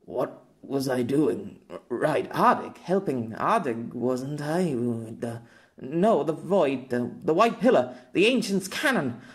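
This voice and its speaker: A man voicing a confused, grumbling middle-aged novelist in an audiobook, halting and repeating his words. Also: mid-range voice, very animated. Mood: dazed.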